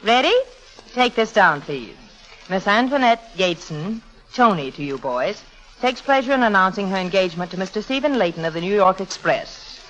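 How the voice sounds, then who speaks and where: An actress playing a spirited young heiress, tart, poised and sardonic, in 1940s radio comedy style. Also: female voice, medium pitch, lively intonation, normal speed, a bit bright, close to an everyday voice. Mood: coolly triumphant. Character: sharp-tongued, spirited young American heiress. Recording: muffled old radio recording.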